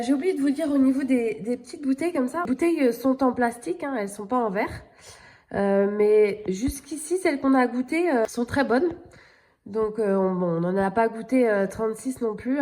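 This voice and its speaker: A woman's voice: on the high side, normal speed, darkish tone. A middle-aged woman with a cold, chatting casually through a home vlog with many hesitations. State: has a cold. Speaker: vlogger. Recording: echoey room.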